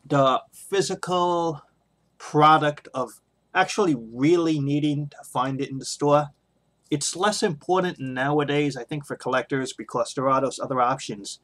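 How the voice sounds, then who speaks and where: A middle-aged man talking unscripted as a video blogger, sharing his opinions in a halting, hesitant way with frequent pauses. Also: medium pitch, a bit bright. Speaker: video blogger on toy collecting.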